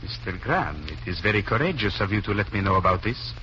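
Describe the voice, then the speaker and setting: A low-pitched male secret agent from Turkey, composed and courteous. He talks deliberately and formally, in old radio drama style, on a muffled recording with some noise. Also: very animated, sharp tone. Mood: confiding, assured. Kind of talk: 1940s radio drama acting.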